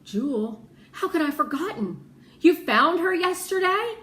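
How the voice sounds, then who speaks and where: A woman's voice: extremely high pitch, sing-song, moderate pace, fairly bright tone, voice pushed up, heavily acted. A woman voicing an earnest, anxious young girl from a children's novel, eager and pleading. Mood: hopeful, worried. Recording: roomy echo with background noise.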